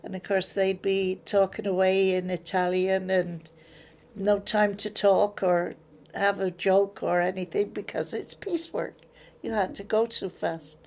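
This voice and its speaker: An older woman from England speaks with an English accent, unhurried and a little gravelly. She tells her memories plainly in an interview, and slips into the voices of people she remembers. Her pitch sits in an ordinary middle range. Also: flat delivery, darkish tone. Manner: plain-spoken storyteller, acts out remembered exchanges.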